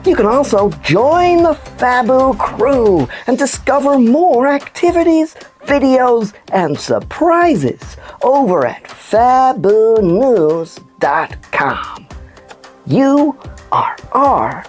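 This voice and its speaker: Animated, swooping puppet news presenter. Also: female voice, a little high, takes it slowly, soft background music. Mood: upbeat, explanatory. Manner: theatrical, exaggerated, expressive. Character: excitable, playful puppet news presenter for children. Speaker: puppet news show host. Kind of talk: children's educational presenting.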